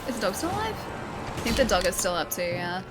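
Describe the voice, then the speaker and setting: A woman's voice: medium pitch, sing-song, brisk, music in the background. An Australian woman streaming a video game, chatting casually with her viewers, reading their messages aloud and laughing often. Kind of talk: casual live-stream gaming chat.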